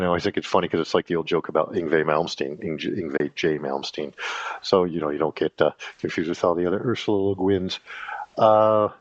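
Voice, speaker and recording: A man with a very deep voice rambles through a casual podcast monologue, halting on fillers and restarting his sentences. The recording is dry and close, with a dull, lo-fi tone. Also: dry recording. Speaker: podcast host.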